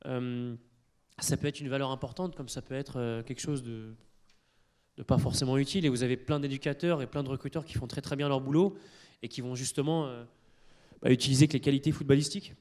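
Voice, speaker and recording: A man of Italian origin speaking as a conference panelist in a university lecture hall, explaining things hesitantly with many pauses and restarts. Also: medium pitch, fairly even intonation, a little mellow. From Italy. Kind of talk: explanatory talk to an audience.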